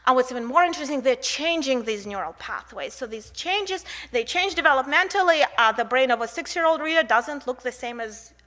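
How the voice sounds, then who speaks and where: High, bright-voiced woman with a Russian accent. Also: animated, fairly quick, few pauses. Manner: conversational, uses rhetorical questions and tag "right?". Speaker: researcher presenting at a conference. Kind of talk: conference lecture.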